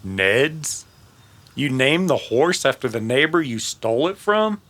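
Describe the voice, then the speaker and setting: A man's voice: medium pitch, sing-song, measured pace, a bit bright, dry recording. A man playing a wounded, grumbling, dry-witted cowboy in an old-time western radio drama, sounding exasperated and sardonic. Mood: teasing.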